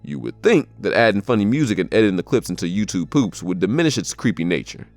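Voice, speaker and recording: A man's voice: lowish voice, lively intonation, fast talker, darkish tone, no echo. A man delivering scripted narration as a video narrator. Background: background music.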